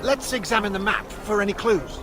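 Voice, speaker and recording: A man's voice: sits high, fairly quick, over music, natural voice. A man playing a wry, bickering dwarf rebel in a video game, talking in a dry, teasing, grumbling manner. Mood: hearty. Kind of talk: conversational video game acting.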